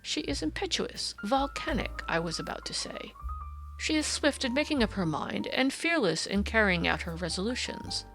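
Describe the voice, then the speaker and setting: A woman voicing a pompous, petulant aristocratic English gentleman, stately and wordy, in an audiobook. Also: fairly high pitch, lively intonation, moderate pace, faint music behind, low-quality recording. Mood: stately, haughty. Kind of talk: audiobook character dialogue.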